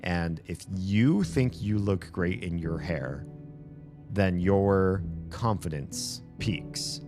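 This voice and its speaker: A very deep-voiced man talks slowly and loosely to his live-stream audience, wandering from thought to thought and restarting sentences. He records alone in a room at home, and music plays clearly behind him. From United States. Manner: rambling, chatty, self-correcting. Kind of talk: casual live-stream chat.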